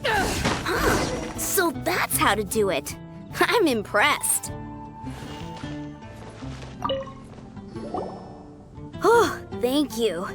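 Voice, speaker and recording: A woman's voice: sits high, wildly swooping pitch, very slow, over music, exaggerated voice. A woman voicing an earnest, eager, modest young girl in training for an anime video game.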